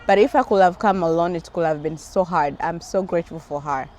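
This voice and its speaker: A woman's voice: lowish voice, a little fast, darkish tone, a little hiss or hum. A woman with a Tanzanian accent answering questions in a casual interview, with a playful, effusive manner. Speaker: interview guest, businesswoman and engineer.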